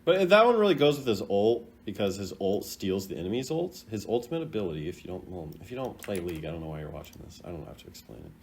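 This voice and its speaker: Animated man giving casual, rambling commentary. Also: lowish voice, fast, few pauses, slight background noise. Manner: rambling, thinking aloud, informal. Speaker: video game content creator ranking voice lines.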